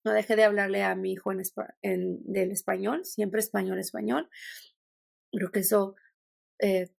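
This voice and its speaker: A woman's voice: mid-range voice, steady tone, normal speed, creaky, darkish tone, no room sound, clean studio sound. A Mexican woman with a Mexican accent, a podcast guest talking thoughtfully and meandering, with many restarts. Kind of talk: conversational podcast interview.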